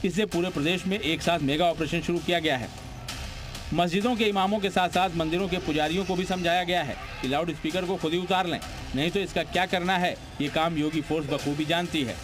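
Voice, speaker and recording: Male news narrator, raised pitch, punchy and dramatic scripted read over a prominent music bed. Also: brisk. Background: prominent background music.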